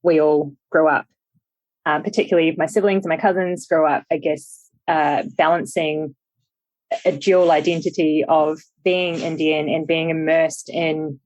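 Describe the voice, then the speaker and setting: A woman's voice: deep voice, fairly even intonation, measured pace, a little mellow, no echo, rough recording. A young woman with a New Zealand accent, a podcast guest talking thoughtfully with many ums and restarts. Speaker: podcast guest, startup founder. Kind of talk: casual interview chat.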